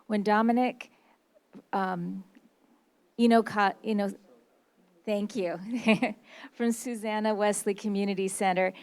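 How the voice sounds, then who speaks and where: A woman's voice: medium pitch, steady tone, slow pace, pauses often, a little mellow, clean tone. A woman who directs government relations, politely reading a prepared speech aloud on someone else's behalf and stumbling slightly over names.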